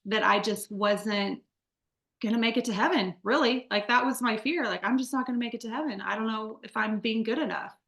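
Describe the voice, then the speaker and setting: A woman's voice: fairly high pitch, fairly quick, gravelly, fairly bright tone, roomy sound. A woman in her forties from the Midwest, sharing her life story as an interview guest in a candid, chatty way with many fillers and restarts. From Midwest United States. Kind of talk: conversational testimony.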